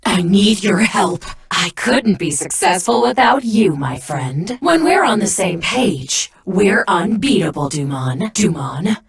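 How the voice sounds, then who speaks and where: A woman playing a proud, icy young warrior in an anime game, with theatrical, imperious and deliberate delivery. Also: mid-range voice, animated, vocal fry. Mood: solemn, devoted. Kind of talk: English anime game voice-over battle lines.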